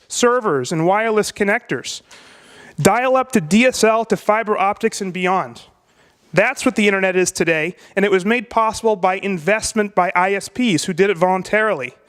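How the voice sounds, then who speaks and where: A man delivering a prepared debate speech, emphatic and rhetorical, with deliberate pauses. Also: high voice, fairly bright tone.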